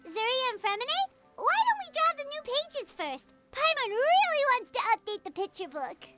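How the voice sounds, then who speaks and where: A woman voicing a tiny, excitable fairy in a video game, theatrical and given to playing the pompous goddess. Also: very high-pitched, normal speed, soft background music, heavily acted. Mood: bubbly, excited. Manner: excitable, theatrical, refers to self in third person.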